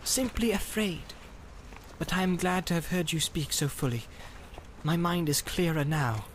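A man's voice: fairly high pitch, unhurried, slight background noise, pitched above the performer's own voice, close to an everyday voice. A man voicing a small, earnest hobbit in a storybook reading, fearful yet resolute, speaking plainly and politely with some hesitation. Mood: afraid, frank.

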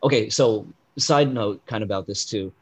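A man co-hosting a casual pop-culture podcast, explaining things at length with lots of "like" and "um" fillers, and getting loud and animated when something riles him. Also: fairly low pitch, normal speed. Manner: rambling, heavy on "like" and "um" fillers. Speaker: podcast co-host.

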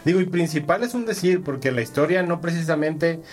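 A man's voice: a little high, brisk. A man with a Mexican accent co-hosting a casual gaming podcast, joking and self-mocking with crude slang, music playing behind him. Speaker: podcast co-host. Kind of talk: casual chat about video games.

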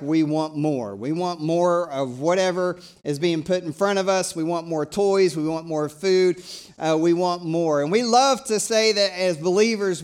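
High-voiced, fired-up pastor from East Tennessee. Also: male voice, smooth. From East Tennessee, USA. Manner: conversational, energetic preaching that invites call-and-response. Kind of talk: live church sermon.

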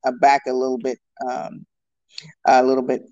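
A man's voice: mid-range voice, monotone, normal speed, a little mellow. A man talking in a thoughtful, hesitant way in a casual conversation, laughing easily. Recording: slightly muffled lo-fi sound.

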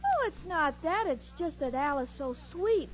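A woman's voice: very high voice, sing-song, a little slow, heavily acted. An American woman playing a sweet, dim-witted, devoted young blonde in a 1940s radio comedy, naive and earnest. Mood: dreamy, devoted. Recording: muffled old radio broadcast, noisy studio audience.

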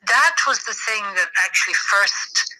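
A woman's voice: mid-range voice, expressive, measured pace, bright, forceful tone, dry recording, low-quality recording. A middle-aged woman from the west of Ireland with an Irish accent, reflecting on personal experience as a podcast guest in hesitant, thoughtful phrases.

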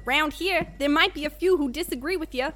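A woman playing a brisk, chummy small-town garage mechanic with folksy, boastful slang in an amateur radio play. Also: high voice, fairly bright tone.

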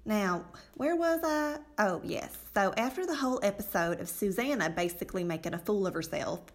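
A woman's voice: medium pitch, animated, moderate pace, close to an everyday voice. A woman playing a gossipy, self-righteous and easily exasperated church lady in a comedic radio play, with a folksy, indignant, dramatic delivery.

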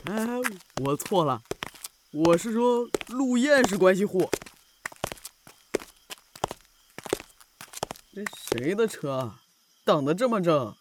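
A lively, high-pitched teasing buddy. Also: male voice, measured pace, noisy background. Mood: flippant. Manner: flippant, needling, theatrical. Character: chatty, teasing male best friend of a boss, cynical. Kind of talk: Chinese audio drama acting.

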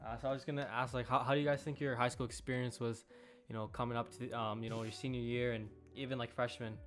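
A man's voice: mid-range voice, flat delivery, fast, fairly bright tone, faint music behind. A man in his late teens from Canada hosting a casual podcast, talking easily with repeated words and slang. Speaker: podcast host. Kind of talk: casual chat.

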